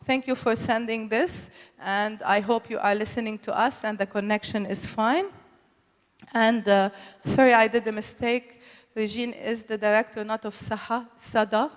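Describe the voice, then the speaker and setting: A woman introduces a session as its host, speaking without a script. Her delivery is halting, with hesitations between phrases. The sound carries the long echo of a hall. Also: fairly high pitch, steady tone. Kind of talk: unscripted spoken introduction at a public event. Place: conference hall, public event. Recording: echoey hall with long reverb.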